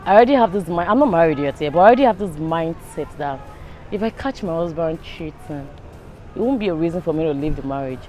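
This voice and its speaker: A woman's voice: sits low, lively intonation, fast, mellow, faint music behind. A young woman with a Nigerian accent chatting casually in a street interview. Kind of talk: casual chat. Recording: faint outdoor traffic noise.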